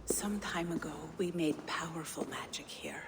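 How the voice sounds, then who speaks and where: A woman playing a solemn, reclusive young seeress in a video game, grave and mysterious, with a hushed, breathy voice. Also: deep voice, normal speed, natural voice. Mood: solemn, haunted. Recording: long echoing reverb, faint noise.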